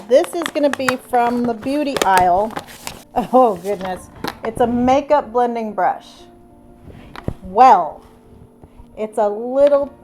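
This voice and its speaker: A woman's voice: high-pitched, a little mellow. A middle-aged woman with a stuffy cold, chatting upbeat through a do-it-yourself craft tutorial. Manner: chatty and upbeat, exclaims over gifts. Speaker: craft vlogger. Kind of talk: casual DIY tutorial narration.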